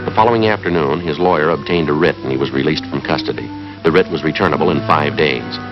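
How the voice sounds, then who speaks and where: An extremely deep-voiced American police sergeant from a 1950s TV drama, terse and matter-of-fact. He is clipped and methodical. The old soundtrack is dull, with prominent music underneath. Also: fast talker. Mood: deadpan. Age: 30s. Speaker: lead actor in a police drama. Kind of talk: 1950s TV police drama acting and scripted narration.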